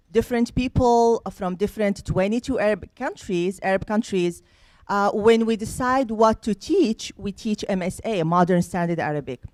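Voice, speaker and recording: A woman hosting a panel interview, asking questions in a measured, explanatory way. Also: fairly high pitch, a little mellow, no echo. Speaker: host interviewing panel guests.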